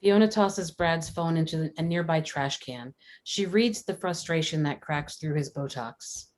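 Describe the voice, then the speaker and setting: A woman's voice: deep voice, measured pace. A woman reading scripted stage directions aloud at a script table read. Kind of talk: scripted narration of screenplay action.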